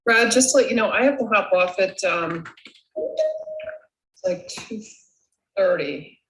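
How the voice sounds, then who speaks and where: A woman's voice: medium pitch, huge pitch swings, slow pace, fairly bright tone, slight background noise. A woman talking informally as a participant in an online meeting. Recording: roomy, echoey online call.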